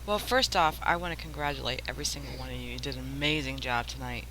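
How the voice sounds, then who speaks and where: A woman with a bright, forceful voice speaks as the owner of a radio station. She is effusive and casual. The live call carries faint noise. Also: extremely low pitch, fast talker, low-quality recording. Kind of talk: casual interview talk.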